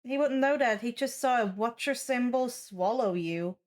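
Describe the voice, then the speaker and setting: A woman's voice: fairly high pitch, normal speed. A woman game master casually explaining what happens in a tabletop role-play session.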